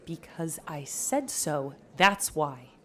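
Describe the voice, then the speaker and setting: A woman's voice: deep voice, very animated, takes it slowly, pushed and bright, heavily acted. An actress playing a stern, exasperated mother who scolds firmly. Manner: scolding, firm.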